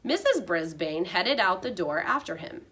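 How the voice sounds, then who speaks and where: A woman reading a children's storybook aloud like a teacher, with expressive narration. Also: lowish voice, moderate pace, husky.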